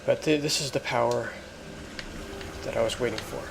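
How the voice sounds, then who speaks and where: A man giving halting, explanatory let's play commentary as host of a gaming video. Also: medium pitch, creaky, noisy background.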